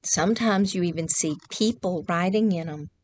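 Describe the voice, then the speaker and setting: A woman, reading a picture book aloud to children and pausing to ask them simple questions. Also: lowish voice, darkish tone, dry recording. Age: 60s. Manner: questioning, explains simply to young listeners. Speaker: children's librarian reading at storytime. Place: indoors, small decorated library room.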